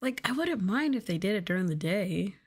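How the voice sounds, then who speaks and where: A woman speaking softly and casually in an ASMR livestream style, breathy and often slipping into a whisper, close to the microphone. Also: mid-range voice, expressive, brisk. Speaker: VTuber streamer. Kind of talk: ASMR soft talking, casual livestream chat.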